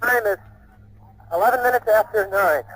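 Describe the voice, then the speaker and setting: An American man giving urgent, excited live commentary as an on-scene radio reporter. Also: sits high, moderate pace. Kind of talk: live breaking news commentary. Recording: faintly noisy 1950s radio broadcast.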